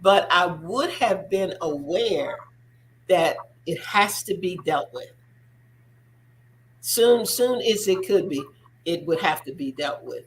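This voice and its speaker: A woman's voice: lowish voice, lively intonation, slow, pauses often, slight background noise, rough recording. Earnest woman hosting a faith talk show. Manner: earnest, repeats words for emphasis. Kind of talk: faith-based discussion.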